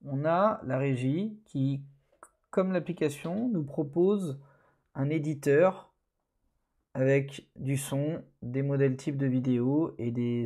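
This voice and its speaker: Man, course instructor, mellow voice, relaxed and halting screen walkthrough. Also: mid-range voice. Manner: relaxed, hesitant, many fillers. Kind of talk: screen-recorded tutorial narration.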